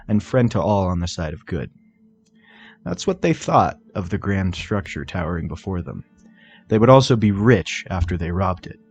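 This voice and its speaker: A man's voice: low voice, a little mellow. Man narrating a fantasy fan story. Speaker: fan fiction reader, narrator. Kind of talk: expressive scripted story narration.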